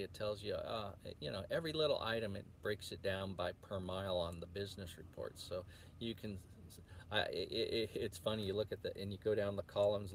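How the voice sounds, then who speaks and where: A man's voice: sits low, gravelly, a little hiss or hum. A man chatting casually to viewers as a truck driver vlogger, rambling and often restarting his sentences in a hesitant, meandering way. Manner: hesitant, meandering, self-interrupting.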